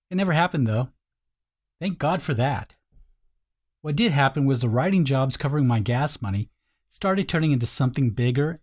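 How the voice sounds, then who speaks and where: A man's voice: mid-range voice, a little mellow. Conversational male sales video narrator. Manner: informal first-person direct address to the listener.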